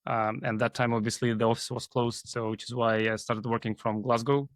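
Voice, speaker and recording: A man's voice: mid-range voice, level tone, brisk, no echo, clean studio sound. A man from Kazakhstan speaking as an interview guest, explaining his work in a hesitant way full of filler phrases. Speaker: guest, AI team leader. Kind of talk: interview talk.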